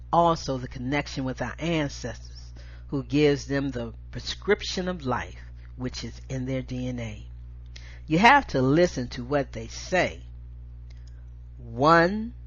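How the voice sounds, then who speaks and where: A woman reciting spoken-word poetry as a show host, with emphatic, dramatic, deliberate phrasing. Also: extremely low pitch, husky, muffled recording.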